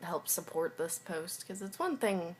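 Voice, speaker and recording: A young woman recording a personal video diary, talking frankly in a halting, hesitant way and sometimes dropping to a whisper. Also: on the low side, lively intonation, slight background noise. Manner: frank and confessional.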